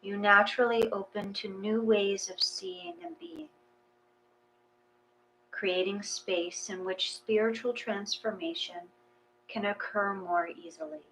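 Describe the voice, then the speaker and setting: A woman reading a guided meditation in a gentle, unhurried, soothing way, with pauses between phrases. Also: mid-range voice, expressive, slight background noise, poor audio.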